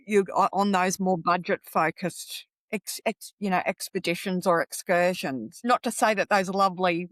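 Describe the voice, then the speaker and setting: Aussie woman guest on a podcast giving practical advice, lowish voice, dry close sound. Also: moderate pace, hardly any pauses, no echo. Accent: Australian. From Australia. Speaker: podcast guest, travel coach. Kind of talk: conversational interview advice.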